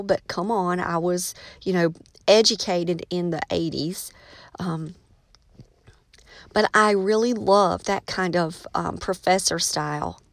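A woman's voice: mid-range voice, dry recording. Chatty middle-aged American woman, close dry sound. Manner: chatty, folksy storytelling, addressing listeners as friends. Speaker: podcast host, teacher and writer. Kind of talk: casual solo podcast monologue.

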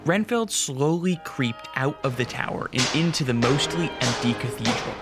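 A man's voice: medium pitch, lively intonation, normal speed, loud music behind the voice. A man narrating a scripted radio drama as a storyteller.

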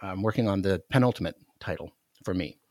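A man's voice: deep voice, a little fast, darkish tone, no echo, studio quality. A man with an American accent, talking casually as a guest on a podcast. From United States, Alaska. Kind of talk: casual chat.

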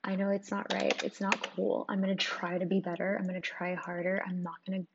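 A young woman with a croaky, hoarse voice talks through her podcast in a loose, profane way, full of "like" and "um." Her voice is gravelly in a middling register. The recording sounds dull and lo-fi, with clear background noise running under it. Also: mid-range voice, level tone, fairly quick. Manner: candid, profane, filler-heavy with lots of "like". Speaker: podcast host. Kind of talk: casual solo podcast chat.

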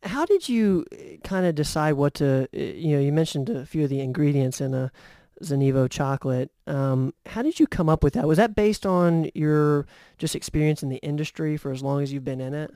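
A man hosting a casual interview show, asking friendly, halting questions with many fillers. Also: fairly high pitch, expressive, mellow, no echo, clean studio sound. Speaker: podcast host.

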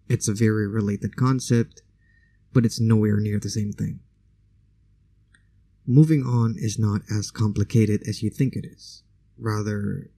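Gravelly low-voiced podcast host. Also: male voice, mellow, no echo. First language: Indonesian. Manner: conversational, opinionated, casual asides. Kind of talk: solo podcast monologue.

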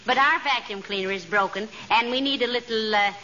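An actress playing a sensible, patient wife, folksy and motherly as she gently chides, in 1940s radio comedy. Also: a little high, a little fast. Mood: chatty. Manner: folksy, motherly, calling people dearie. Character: sensible, patient wife who gently scolds her husband. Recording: noisy dull 1940s radio recording, audience.

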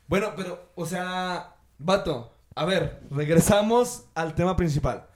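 A young man with a Mexican accent and a high-pitched voice co-hosts a casual podcast. He chats in loose slang, excitable and joking. The room adds noticeable echo. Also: unhurried. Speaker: podcast host. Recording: roomy echo.

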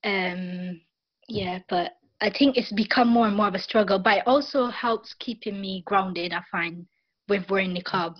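A woman's voice: medium pitch, normal speed, no echo, dull audio. A young woman from Barbados with a Barbadian accent, a guest talking casually in an interview, with many fillers and restarts.

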